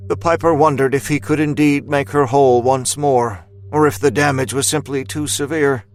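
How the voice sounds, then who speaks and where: A man narrating a scripted dramatic story with deliberate, literary phrasing. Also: medium pitch, fairly even intonation, soft background music.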